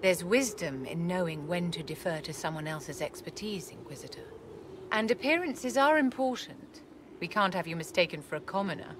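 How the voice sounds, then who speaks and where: An actress playing an imperious, elegant aristocratic enchantress in a video game, speaking in a poised, condescending, formal way. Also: female voice, fairly low pitch, expressive, noisy background, close to an everyday voice. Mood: lofty, commanding. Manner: poised, haughty, condescending endearments, measured formal speech. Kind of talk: video game cutscene acting.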